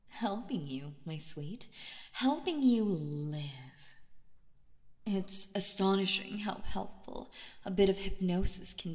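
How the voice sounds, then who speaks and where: A woman playing a calm, possessive, dominant boss in an intimate ASMR roleplay, speaking in a coaxing, breathy voice that often slips into whispers. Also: fairly low pitch. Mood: teasing, dominant. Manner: slow, coaxing, seductively dominant. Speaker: voice actor narrating roleplay. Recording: long reverb on the voice.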